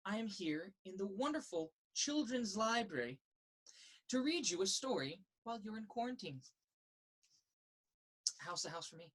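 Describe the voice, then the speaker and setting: A woman reading a children's picture book aloud in a sing-song, rhythmic way, with a slightly husky voice. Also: mid-range voice, big pitch swings, moderate pace, long pauses, poor audio. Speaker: story reader. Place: indoors in a children's library.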